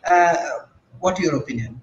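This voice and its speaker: A man's voice: on the high side, animated, moderate pace, echoey room, lo-fi sound. A man hosting an interview, asking long, rambling, circling questions. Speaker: interview host.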